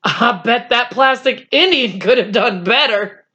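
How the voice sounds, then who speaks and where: Storybook schoolboy played by a woman: raised pitch, teasing, incredulous, quick to challenge. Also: bright, forceful tone, highs cut off. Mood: suspicious. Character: skeptical, teasing schoolboy, incredulous best friend.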